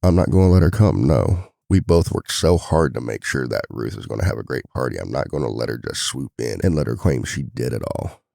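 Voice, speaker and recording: Man acting as very deep, gravelly devoted single dad. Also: expressive, a little fast, few pauses, warm tone, no room sound, studio quality, heavily acted. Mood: frustrated, torn. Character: devoted single dad boyfriend, worried, tender, venting. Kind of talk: intimate boyfriend ASMR roleplay.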